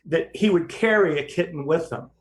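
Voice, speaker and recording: A man's voice: a little high, moderate pace, slight background noise. An American man co-hosting a talk, giving casual expert commentary in an emphatic, plain-spoken and wry way. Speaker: podcast co-host and firefighting instructor.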